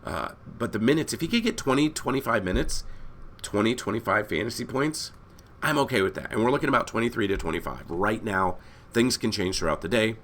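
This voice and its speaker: A hoarse man who is losing his voice, hosting a casual fantasy basketball breakdown that rambles and corrects itself as he talks to viewers. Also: on the low side, lively intonation, brisk, a bit bright, slight background noise. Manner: rambling, self-correcting, addresses viewers as guys. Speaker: fantasy sports analyst host. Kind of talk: casual screen-share breakdown.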